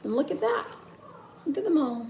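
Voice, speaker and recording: A woman's voice: sits high, expressive, normal speed, a little mellow. A woman reading a children's picture book aloud expressively, with questions and asides to young listeners. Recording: roomy echo, faint background noise.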